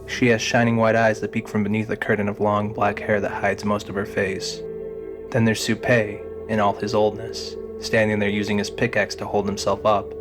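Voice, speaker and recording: Male narrator for a scripted audio drama, lower-pitched, reading prose with a prominent music bed underneath. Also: flat delivery, moderate pace.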